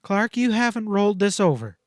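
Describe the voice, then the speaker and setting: A man voicing a scornful, quick-tempered young frontier woman in a western audiobook, speaking in emphatic, cutting outbursts. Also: high-pitched, big pitch swings, no room sound, clean studio sound, voice pushed up. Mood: contemptuous, urgent.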